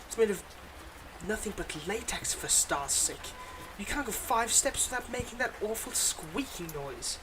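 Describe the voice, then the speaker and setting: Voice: mid-range voice, expressive, unhurried, background noise, natural voice. A performer voicing a smug, playfully exasperated supervillain in a scripted ASMR audio roleplay, mocking and dramatic. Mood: smug, teasing. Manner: mocking, dramatic, addresses an unheard hero listener.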